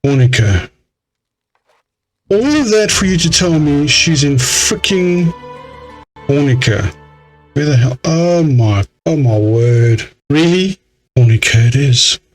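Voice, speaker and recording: A man's voice: a little high, animated, takes it slowly, pauses often, faint music behind. A man giving casual let's play commentary in a grumbling, exasperated, mildly sweary way. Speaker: gaming video host.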